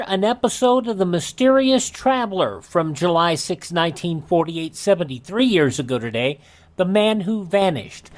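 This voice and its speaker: A man hosting a radio program, reading scripted news items and announcements as narration. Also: high voice. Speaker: radio show host.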